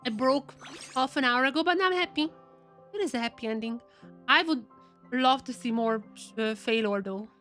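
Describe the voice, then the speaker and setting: Female game streamer, high voice, chatty and casual, repeats herself for emphasis, music underneath. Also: normal speed, a bit bright. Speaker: live streamer reacting to a game. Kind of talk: casual live game reaction commentary.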